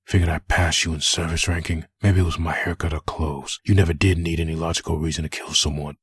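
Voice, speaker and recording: A man playing a vengeful undead antihero in a comic dub, raspy, bitter and accusing. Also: a bass voice, flat delivery, fast talker, no room sound, exaggerated voice. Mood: bitter, taunting. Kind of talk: fan comic dub acting.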